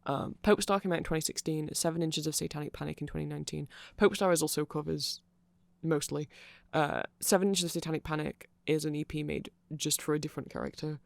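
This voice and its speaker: A man's voice: on the high side, fairly even intonation, fast talker, vocal fry, dry recording. A man giving a rambling, nerdy, informal ASMR explainer, often hesitating and interrupting himself. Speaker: ASMR creator explaining band lore.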